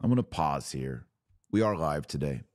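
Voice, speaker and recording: A man hosting a podcast, giving religious commentary in a deliberate way with pauses and emphatic phrasing. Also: extremely low pitch, animated, darkish tone, no echo, studio quality. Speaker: podcast host.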